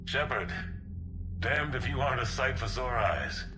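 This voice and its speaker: An actor playing an older male officer, gruff and weary but fatherly toward an old comrade. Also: medium pitch, lively intonation, a little slow, noisy background, close to an everyday voice. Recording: music bed under the voice.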